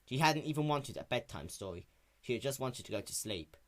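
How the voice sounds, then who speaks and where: A man's voice: medium pitch, animated, fairly quick, fairly bright tone. A man narrating a scripted story in a dramatic reading style. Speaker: narrator of a fan fiction reading.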